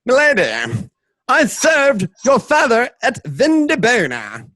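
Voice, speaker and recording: A man's voice: very high voice, huge pitch swings, takes it slowly, pushed and bright, no echo, pitched above the performer's own voice, full character voice. A man voicing an eager, loyal old soldier and servant with strained, emphatic delivery in a radio drama. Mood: urgent, pleading. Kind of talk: online table-read radio drama acting.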